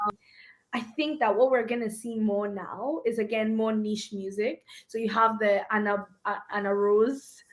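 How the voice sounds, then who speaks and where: A woman from Ghana with an American accent, cohosting a casual podcast and making music, chatting loosely with lots of "like" fillers. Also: normal speed. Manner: chatty, full of "like", pitch leaping up when excited. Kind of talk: casual conversational podcast chat.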